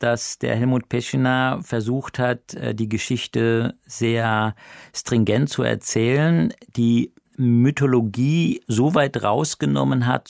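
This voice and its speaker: A man's voice: medium pitch, creaky, a little mellow, dry recording, clean studio sound. A man, a drama director, explaining his work casually in an interview.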